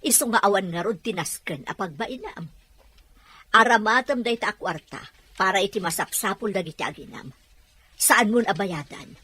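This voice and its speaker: A woman's voice: medium pitch, lively intonation, normal speed, sharp tone, husky. A woman playing a caring, generous, motherly older woman in an audio family drama. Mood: concerned, generous. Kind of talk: dramatized audio story acting.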